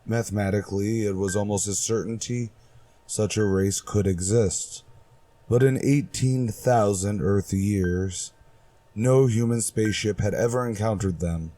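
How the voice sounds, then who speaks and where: An actor playing a grave, dramatic announcer and narrator of retro sci-fi radio, solemn and portentous. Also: male voice, on the low side, measured pace, dark tone, slight background noise, close to an everyday voice.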